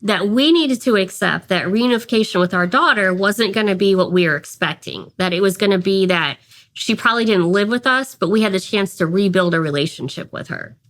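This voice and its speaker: A woman's voice: mid-range voice, fairly quick, a bit bright. A woman from Ohio, a guest in an interview, recounting events and quoting what others said. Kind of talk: conversational storytelling.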